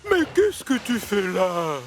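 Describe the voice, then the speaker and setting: Fussy railway boss, wildly swooping voice. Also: male voice, sits high, sing-song, slow pace, background noise, exaggerated voice. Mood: pleased, busy. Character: busy, fussy male railway controller, stout boss. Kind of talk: children's cartoon dubbing.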